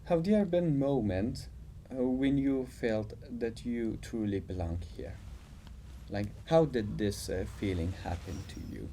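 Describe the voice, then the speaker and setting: A man's voice: medium pitch, a little slow, dark tone, slight background noise. A man interviewing a guest in non-native English, asking questions with frequent filler words. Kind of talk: casual interview talk.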